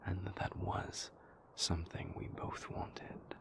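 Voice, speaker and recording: A man playing an earnest, guarded, remorseful vampire boyfriend in an intimate audio roleplay, speaking in a breathy, gravelly, halting and deliberate way. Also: in a whisper, natural voice. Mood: earnest, reflective. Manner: halting, deliberate, measured phrasing with long pauses.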